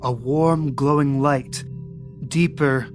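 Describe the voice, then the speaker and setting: Gm narrating spooky dream stuff, calm and steady, music in the back. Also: mid-range voice, slow pace. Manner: calm, eerie descriptive narration. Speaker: game master. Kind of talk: narrating a tabletop game.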